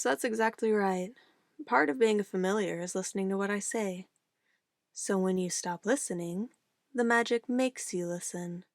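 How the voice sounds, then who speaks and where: Woman acting a close, creaky-voiced obsessive witch. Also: mid-range voice, dry recording, close to an everyday voice. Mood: condescending, pleased. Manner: intimate, cooing, theatrical, shifting between soft whispers and firm commands. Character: obsessive yandere witch, sweetly menacing, possessive, giddy. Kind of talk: ASMR roleplay monologue.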